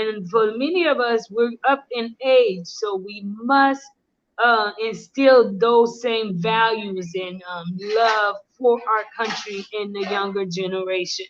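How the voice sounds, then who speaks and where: A woman's voice: fairly high pitch, slow, lo-fi sound, a little hiss or hum. A middle-aged woman hosting a casual live-stream chat, talking earnestly and switching between English and Creole. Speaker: host.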